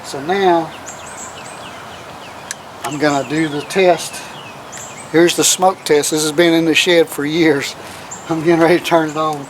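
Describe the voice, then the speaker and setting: A man with a somewhat higher-pitched voice hosts a casual how-to demonstration. His talk is plain and folksy, with informal grammar. He is recorded outdoors, with clearly audible ambient and vehicle noise around him. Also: steady tone, unhurried, pauses often. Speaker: host of a do-it-yourself radio video.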